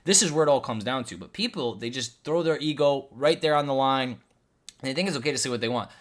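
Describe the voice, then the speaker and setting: A man's voice: mid-range voice, expressive, a little fast. A man from Lebanon giving a heated reaction commentary, arguing emphatically and hammering the same points again and again. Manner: argumentative, emphatic, repeats points, rising to near-shouts.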